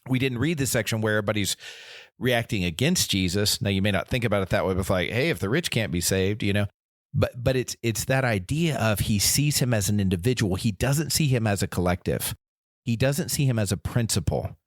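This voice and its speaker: Earnest low-voiced man, dry close sound. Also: a little fast, dry recording. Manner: earnest, restarts often, quotes imagined voices. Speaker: podcast co-host. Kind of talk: casual faith discussion.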